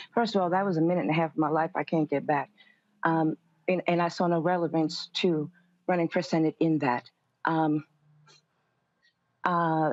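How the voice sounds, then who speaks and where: A woman's voice: low-pitched, darkish tone, no echo. A woman, a pastor appearing as a panel guest, giving conversational political commentary. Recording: dull band-limited audio.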